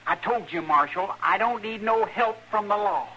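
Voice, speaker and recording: A man's voice: high voice, moderate pace, bright, forceful tone, poor audio. An actor playing a stubborn, anxious young country man with a rural American accent in an old-time radio western. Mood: defiant. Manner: folksy, earnest, defensive.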